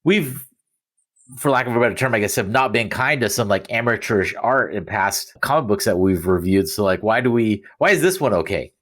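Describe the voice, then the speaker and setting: Low-pitched man hosting a casual podcast. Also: animated, fairly quick, fairly bright tone. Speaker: podcast host. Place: remote recording.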